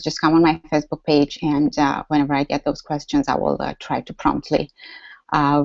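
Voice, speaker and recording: A woman's voice: low-pitched, vocal fry, a little mellow, dry recording, muffled recording. A woman with a Romanian accent hosting a live online workshop, chatty and full of fillers and restarts. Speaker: workshop host. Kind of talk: live webinar teaching.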